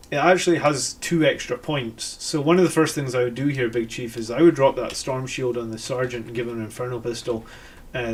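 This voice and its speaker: A Scottish man hosting a live stream, thinking aloud about army lists with many fillers and self-corrections. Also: mid-range voice. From Scotland. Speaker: host reviewing army lists. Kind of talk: live-stream casual commentary.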